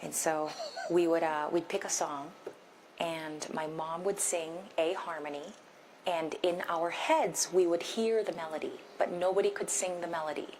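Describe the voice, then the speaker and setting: Woman from korea, lowish voice, reflective story at a press thing, faint hum. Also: steady tone, moderate pace. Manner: reflective, long-winded. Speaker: guest performer at press event. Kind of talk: personal storytelling at press conference. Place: large theater.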